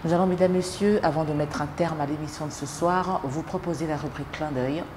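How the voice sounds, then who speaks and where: A woman's voice: low-pitched, fairly even intonation, brisk. A woman, speaking as a TV interview host. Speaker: TV show host. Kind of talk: interviewing and presenting. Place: on location at guest's premises. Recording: clear background noise.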